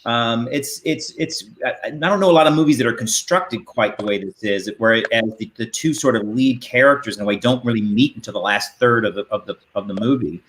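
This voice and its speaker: A man's voice: medium pitch, a little fast, few pauses, a bit bright. A man hosting an interview over a video call, asking questions in a casual, rambling way. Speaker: interview host. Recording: video call with faint noise.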